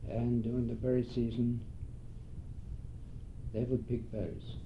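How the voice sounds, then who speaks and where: An older man from Norway with a Norwegian accent, a Norwegian native speaker, reminiscing slowly and haltingly in a recorded interview, often pausing and clearing his throat. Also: flat delivery. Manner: slow, halting, with frequent pauses and throat clearing. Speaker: oral history interviewee. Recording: dull lo-fi tape with faint rumble.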